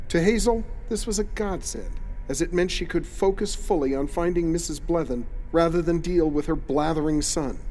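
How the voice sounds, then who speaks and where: Guy doing a dramatic story narrator, big long reverb, music underneath, very descriptive and deliberate. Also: fairly high pitch, darkish tone. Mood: wry. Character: storytelling male narrator, confidant of the detective.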